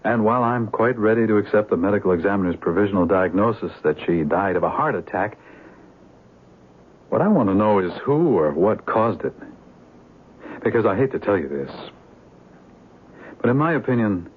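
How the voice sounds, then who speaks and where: An actor playing a courteous, grave police lieutenant who speaks hesitantly in a measured way, in a radio drama. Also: male voice, fairly low pitch, expressive, breathy edge. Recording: muffled lo-fi 1970s radio recording.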